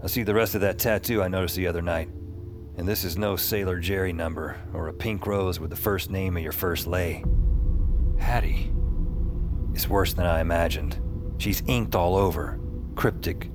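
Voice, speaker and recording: An actor playing a world-weary detective hero, narrating a hard-boiled supernatural audio drama in a wry, confiding way. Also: male voice, deep voice, steady tone, normal speed, lightly acted. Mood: wry, brooding. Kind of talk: noir-style audio drama narration and dialogue. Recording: music under the voice. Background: music.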